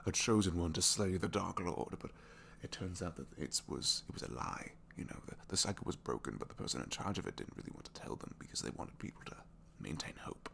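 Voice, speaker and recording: A man playing a captured supervillain in an intimate ASMR roleplay, a gentle, bookish dreamer who speaks softly and hesitantly, often sinking toward a whisper. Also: low voice, sing-song, fairly quick, creaky, few pauses, slight background noise, exaggerated voice. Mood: geeky, wistful. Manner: hesitant, soft-spoken, rambling, often dropping to whispers.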